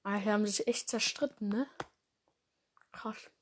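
A woman's voice: mid-range voice, measured pace, slight background noise. A young woman hosting her own fan podcast, rambling casually with lots of fillers and slang, her nose stuffed up from a cold. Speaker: podcast host.

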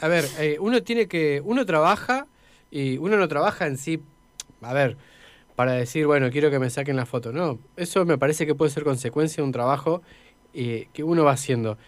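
A man's voice: fairly high pitch, fairly quick, no room sound. A man with an Argentine accent co-hosting a conversational radio interview, speaking in an emphatic, motivational way with repetition. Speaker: radio co-host.

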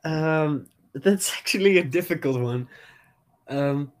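A man's voice: fairly high pitch, slow. Hesitant man on a call. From Netherlands. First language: Dutch. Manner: hesitant, lots of ums, easily amused. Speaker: podcast guest. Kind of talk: casual chat.

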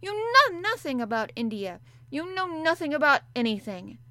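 A woman's voice: big pitch swings, a little slow, sharp tone, no room sound, heavily acted. A woman voicing a spoilt, haughty little girl who speaks coldly and disdainfully. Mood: furious. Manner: cold and disdainful, flaring into shrill rage.

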